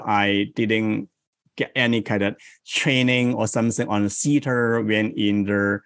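A man in his forties from South China with a Chinese accent, a guest in an interview who tells stories in a slow, reflective way. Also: medium pitch, dry recording. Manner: slow, thoughtful, reflective storytelling. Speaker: guest, improv coach. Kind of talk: interview conversation.

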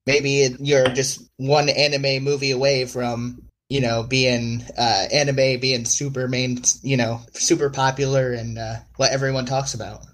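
Upbeat podcast host, mid-pitched. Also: male voice, level tone, a little slow, hardly any pauses, a bit bright. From United States. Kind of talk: casual podcast chat.

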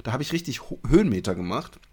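A man's voice: medium pitch, sing-song, fast talker, a little mellow, husky. A man co-hosting a casual podcast, bantering about running in a teasing, playfully boastful and crude way. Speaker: podcast co-host.

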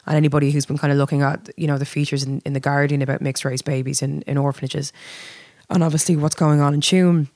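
A woman's voice: deep voice, level tone, fast, a little mellow, no room sound. Irish woman in a dry, close recording. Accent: north Dublin Irish. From Dublin, Ireland. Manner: candid, hesitant phrasing with repeated words. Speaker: band singer being interviewed. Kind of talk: casual reflective interview chat.

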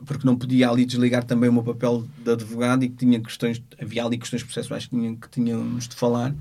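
A man with a European Portuguese accent speaking unscripted as a lawyer, in a measured, halting way as he searches for words. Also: mid-range voice, completely flat delivery, gravelly, mellow. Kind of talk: unscripted spoken account of a court case.

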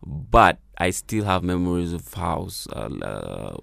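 A man's voice: sits low, very animated, slow pace, creaky, fairly bright tone, no room sound, studio quality. A man who grew up in Kenya, with Swahili as his first language, talks reflectively as a musician guest in a casual interview, full of fillers and restarts. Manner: reflective, many fillers and restarts, laughs easily.

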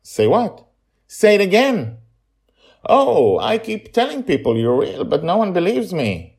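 A man's voice: high voice, sing-song, a little slow, creaky, darkish tone, voice pushed up, full character voice. A man voicing an earnest young boy who insists he is telling the truth. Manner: pleading, insistent.

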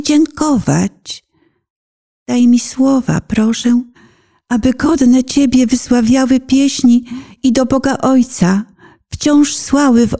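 Slow, breathy woman reciting devotional poetry. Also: on the high side. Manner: solemn, fervent, dramatic phrasing with hushed breathy moments. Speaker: narrator and reciter. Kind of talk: poetic religious recitation, radio-play meditation.

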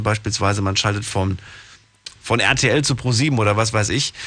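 A man hosting a radio call-in show, talking casually and animatedly, often restarting his sentences. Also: lowish voice. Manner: animated, exasperated, full of restarts. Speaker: radio talk show host. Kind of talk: casual radio phone-in talk.

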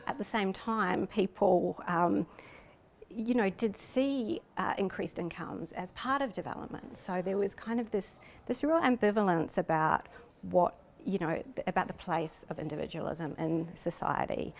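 A woman's voice: mid-range voice, unhurried, gravelly. A woman with a New Zealand accent, speaking thoughtfully and hesitantly as an interviewed researcher, with frequent you-knows. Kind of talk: reflective interview talk.

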